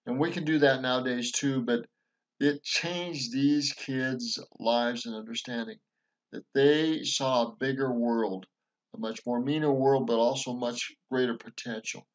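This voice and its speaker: Earnest rambling man, dull lo-fi sound. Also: medium pitch. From Montana, USA. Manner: earnest, rambling, with many fillers and restarts. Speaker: vlogger reviewing a film. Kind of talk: casual commentary over film clips.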